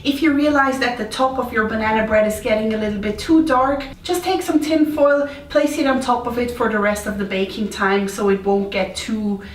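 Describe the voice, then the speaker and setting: A woman's voice: fairly high pitch, moderate pace, few pauses. Echoey, playful Swiss-accented woman vlogger. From Switzerland. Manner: playful, informal, self-deprecating. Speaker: baking vlog host. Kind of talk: casual chat to camera. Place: indoors, home kitchen. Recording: roomy echo, distant mic, faint noise.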